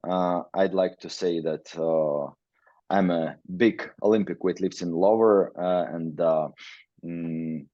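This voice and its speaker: A man from Ukraine giving a webinar talk in halting English, with frequent fillers. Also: deep voice, steady tone, mellow, no room sound, dull audio. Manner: halting non-native English, many fillers. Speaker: guest presenter, weightlifting researcher. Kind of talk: webinar presentation. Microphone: video call.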